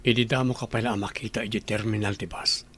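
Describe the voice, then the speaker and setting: A man's voice: on the low side, steady tone, a little fast, a bit bright, a little hiss or hum, natural voice. An actor playing an earnest male boss courting his employee in a Filipino radio drama. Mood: earnest wooing.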